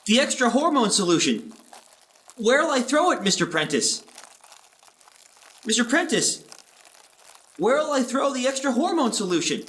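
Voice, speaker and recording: A man's voice: very high-pitched, very animated, moderate pace, pauses often, fairly bright tone, exaggerated voice. An actor playing a naive young male assistant in a 1940s-style radio horror drama, insistently repeating himself. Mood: insistent, eerie. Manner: insistent, repetitive.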